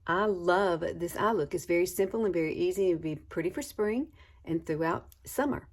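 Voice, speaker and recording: A woman's voice: lowish voice, moderate pace. A woman, chatting casually through a makeup tutorial and calling viewers girl and y'all. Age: 60s. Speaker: beauty vlogger.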